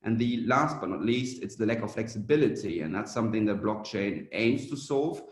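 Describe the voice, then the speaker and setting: A young man from Germany talks through a business presentation in a fairly low voice, often stopping on "um" as he gathers his thoughts. His voice carries the echo of a reflective room. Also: animated, normal speed. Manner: hesitant, many "um" fillers. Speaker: startup founder and CEO. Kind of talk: conference presentation.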